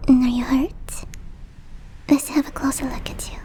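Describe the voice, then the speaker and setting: Girl doing a sweet motherly yeti lady for asmr rp, high breathy whisper, really mellow, doting and teasing. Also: flat delivery, natural voice. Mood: worried, tender. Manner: cooing, nurturing, slow and teasing. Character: big fluffy female yeti, motherly, gentle and doting. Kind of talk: ASMR roleplay.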